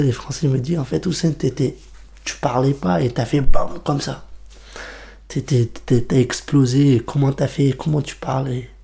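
A young man whose first language is Kurmanji, speaking French in a documentary interview, often pausing and repeating words. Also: medium pitch, vocal fry, warm tone. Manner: hesitant, repeats words while searching for them.

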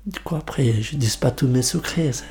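Young guy, kurmanji is his first language, french w/ lots of restarts, mellow darkish voice. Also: mid-range voice, normal speed. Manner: hesitant, repeats words while searching for them. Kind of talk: documentary interview testimony.

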